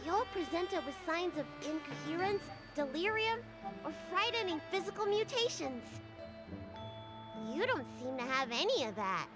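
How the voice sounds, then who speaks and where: Young girl healer, game role: high, animated, earnest and professional. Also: normal speed. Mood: puzzled, concerned. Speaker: doctor. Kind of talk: English video game voice acting. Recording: game music behind the voice.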